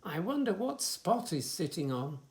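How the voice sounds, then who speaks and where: A flustered storybook dog, as a male reader puts him on, explaining patiently in exclamations. The voice swings widely in pitch and has a gravelly creak. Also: very animated, unhurried, slight background noise, exaggerated voice. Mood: amazed. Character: flustered, patient dog looking after many unruly puppies.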